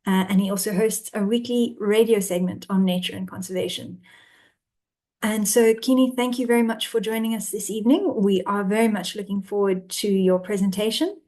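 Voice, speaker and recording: A woman hosts a webinar and introduces her guest in a polite, measured way. She speaks at a mid pitch over a video call mic. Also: steady tone, dark tone. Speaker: webinar host. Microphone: computer mic on a video call.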